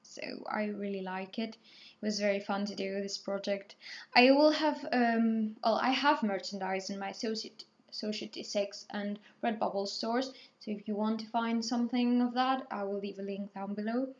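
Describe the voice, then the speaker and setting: A woman's voice: mid-range voice, moderate pace, dull audio. A woman in her twenties, raised in Spain and not a native English speaker, rambling casually with many ums and self-corrections while she talks through her painting. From Portugal, raised in Spain. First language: Spanish or Portuguese. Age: 20s. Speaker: artist, channel host. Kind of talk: casual talkthrough over painting.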